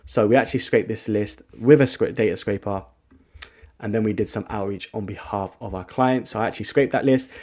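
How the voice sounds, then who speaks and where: A man walking viewers through a software tool in a casual screen-share tutorial, thinking out loud with frequent restarts. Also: fairly low pitch, brisk. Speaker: agency founder giving a software tutorial.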